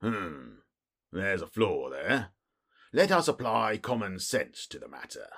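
Man playing a husky, blustering police detective. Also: sits low, a bit bright, full character voice. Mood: self-satisfied. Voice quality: muffled, husky, wheezy. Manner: blustering, short clipped phrases. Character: stout, bluff, self-important middle-aged male police detective. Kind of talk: audiobook character voice.

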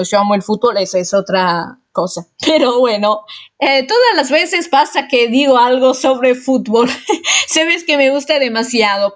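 A woman talks in a casual, friendly way, her voice sitting a touch high. She speaks a she is still learning, so she often pauses and corrects herself mid-word. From Italy. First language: Italian. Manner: chatty, hesitant with frequent fillers and self-corrections. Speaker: vlogger answering a viewer question. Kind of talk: casual chat.

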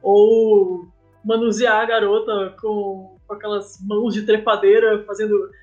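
A woman's voice: mid-range voice, flat delivery, unhurried, a little mellow, highs cut off, faint music behind. A woman with a Brazilian accent speaking casually as a guest in a podcast interview, often checking for agreement with tag questions. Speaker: guest, comic artist and game writer.

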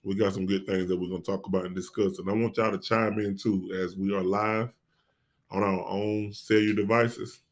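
A man's voice: deep voice, little pitch movement, moderate pace. An American man speaking casually and informally as an interview guest, repeating phrases for emphasis. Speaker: podcast guest, stage play actor.

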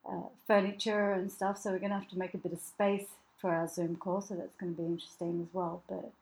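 A woman's voice: lowish voice, level tone, fast, gravelly, a little mellow, rough recording. Woman, podcast co-host, shivering, self-deprecating and full of laughs. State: shivering from the cold. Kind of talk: casual chat. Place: cold apartment full of moving boxes.

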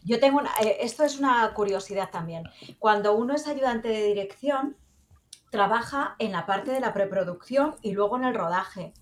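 A woman's voice: fairly high pitch, normal speed. A woman co-hosting a panel chat, curiously asking a question.

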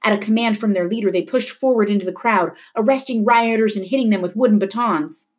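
A woman reading a novel aloud in an expressive storytelling style, dramatic at tense moments. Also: medium pitch, fast. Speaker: reader of a children's novel. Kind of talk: expressive read-aloud narration of a book.

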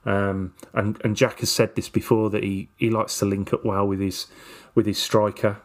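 A man's voice: on the low side, level tone, normal speed. A man co-hosting a casual football chat, speaking hesitantly with many fillers and repeated words. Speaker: football podcast co-host. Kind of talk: casual match review chat.

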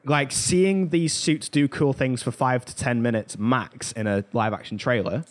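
A man's voice: medium pitch, unhurried. A man co-hosting a pop culture talk show, discussing things in a casual, conversational way. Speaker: podcast co-host.

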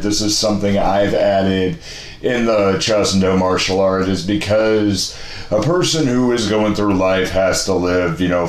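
A man with a very low voice talks in a loose, rambling way, full of slang and fillers. He sounds like he's in his thirties and hosts a relaxed chat. The room is echoey. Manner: laid-back, slangy, rambling with many fillers. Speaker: podcast host. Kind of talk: casual conversation.

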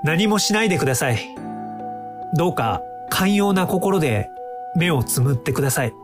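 An actor in an audio drama playing an earnest, polite young time-traveling inventor who explains things courteously. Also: male voice, fairly high pitch, animated, normal speed, over music, lightly acted. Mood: sincere, composed.

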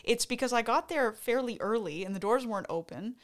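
A woman's voice: a little high, expressive, fairly quick. A young woman from Canada, co-hosting a podcast, telling a concert story in a chatty way with many fillers and restarts. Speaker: podcast co-host. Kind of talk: casual chat.

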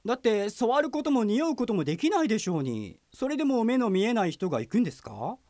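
A man playing a courteous, deadpan, apologetic police detective in a comic audio drama, asking questions politely. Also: high voice, fast talker, no echo, clean studio sound. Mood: curious.

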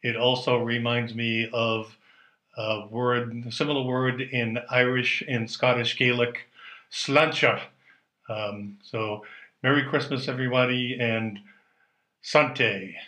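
A man's voice: medium pitch, steady tone, takes it slowly, pushed and bright. A man with an American accent giving an informal personal message. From United States. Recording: echoey room.

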